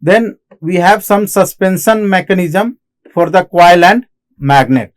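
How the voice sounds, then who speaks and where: High-pitched Indian man giving a lecture. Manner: repetitive, explanatory, halting phrasing. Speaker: engineering lecturer. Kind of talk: classroom lecture on technical material.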